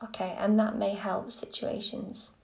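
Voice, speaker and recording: A woman reading tarot on a live stream, gentle and soothing, a little croaky from a cold. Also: mid-range voice, little pitch movement, a little slow, poor audio. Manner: gentle, soothing, lots of okays. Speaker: tarot reader.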